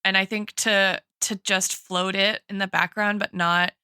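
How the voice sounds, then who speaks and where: A woman's voice: mid-range voice, flat delivery, a little slow, sharp tone, clean tone, dry recording, studio quality. A woman in a casual, analytical podcast discussion, thinking aloud as she goes and often checking in with "right?". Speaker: podcast co-host and guest expert.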